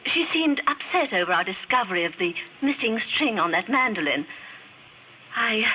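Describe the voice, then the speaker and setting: Anxious respectable married woman, highish bright voice, halting, earnest, old radio drama. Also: sing-song, poor audio. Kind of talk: 1950s radio drama acting. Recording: old radio recording, faint noise.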